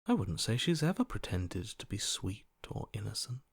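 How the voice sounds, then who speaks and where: Cold, detached inner voice, bone dry. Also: male voice, fairly low pitch, very animated, moderate pace, breathy edge, no echo, exaggerated voice. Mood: coolly pragmatic. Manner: dry, blunt, dismissive. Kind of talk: video game voice acting.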